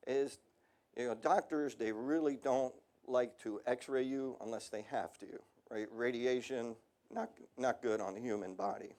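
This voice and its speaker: A man's voice: mid-range voice, darkish tone. A middle-aged man giving a casual technical seminar talk on industrial radiography, with a slightly worn voice and frequent ums. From United States.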